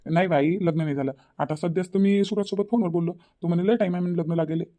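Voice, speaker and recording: A man's voice: high voice, quick pace, warm tone, close to an everyday voice. A man playing a placating, mildly stern son and husband with a Varhadi accent in a cartoon family drama. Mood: reassuring. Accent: rural Maharashtra, Varhadi. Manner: repetitive, placating, firm.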